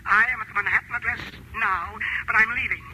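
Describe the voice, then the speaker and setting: An actor playing a timid, fearful older chemist, speaking formally and precisely in a 1940s-style radio drama. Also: male voice, high voice, fairly even intonation, fast talker, close to an everyday voice. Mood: resigned, weary. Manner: formal, precise, methodical phrasing. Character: timid, fearful aging male chemist confessing crimes. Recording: muffled, very noisy old radio recording.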